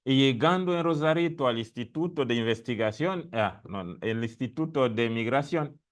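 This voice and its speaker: A man's voice: medium pitch, lively intonation, normal speed. A man from the Democratic Republic of the Congo, a doctoral student, speaking on an academic panel in Spanish, his second language, with frequent fillers and restarts. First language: not Spanish; spoke Italian for years before. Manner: hesitant, many fillers and restarts. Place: lecture room at a university event.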